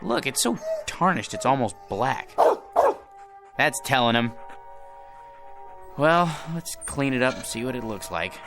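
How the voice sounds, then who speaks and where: A man's voice: medium pitch, big pitch swings, unhurried, long pauses. Meek, flustered ordinary man. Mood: glum, self-mocking. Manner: hesitant, stammering when flustered. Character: meek, self-deprecating ordinary man, bewildered lamp owner. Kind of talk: radio drama acting. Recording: music under the voice.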